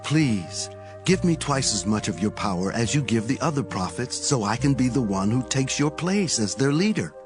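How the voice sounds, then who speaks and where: A man's voice: medium pitch, big pitch swings, moderate pace, over music, close to an everyday voice. An actor playing a devoted, earnest male disciple of a prophet in a dramatized audio Bible, with a resolute delivery. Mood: earnest, pleading.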